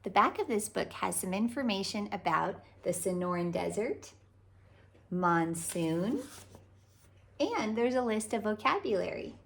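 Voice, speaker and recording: A woman hosting a read-aloud as a children's author, narrating a picture book in a deliberate, animated way for young children. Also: medium pitch, gravelly. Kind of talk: expressive children's storybook narration.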